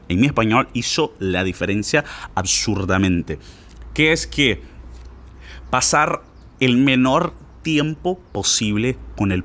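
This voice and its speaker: Man, casual language teacher, very expressive pitch, slangy, pushy, talks straight to the listener. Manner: informal, insistent, slangy, addresses listener directly. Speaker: Spanish teacher for learners. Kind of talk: casual spoken lesson.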